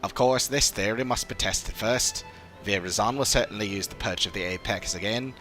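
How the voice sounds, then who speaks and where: A man voicing a courteous, scholarly old father in a fantasy game, speaking in a formal, measured, old-fashioned way. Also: lowish voice, pushed and bright, soft background music. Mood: thoughtful. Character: courteous old father, scholarly theorist recovering from long illness. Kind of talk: fantasy game dialogue read aloud.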